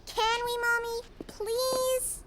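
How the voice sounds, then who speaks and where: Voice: extremely high pitch, little pitch movement, drawn-out words, heavily acted. An actor voicing an eager, curious, polite little preschool boy in a children's cartoon. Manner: polite, inquisitive.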